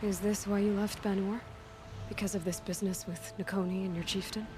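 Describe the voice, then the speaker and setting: A woman playing a calm, kind and capable young huntress in a video game cutscene. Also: mid-range voice, a little fast, lightly acted. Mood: curious. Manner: calm, direct, sympathetic. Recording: music behind the voice.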